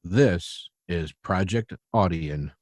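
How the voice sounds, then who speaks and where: A man's voice: deep voice, slow, a little mellow, dry recording. A man working as announcer, reading formal credits in old-time radio style.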